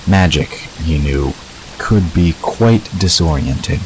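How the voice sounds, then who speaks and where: A man's voice: low voice, lively intonation, takes it slowly, slight background noise, low-quality recording. A man narrating a scripted fantasy story for an audio drama.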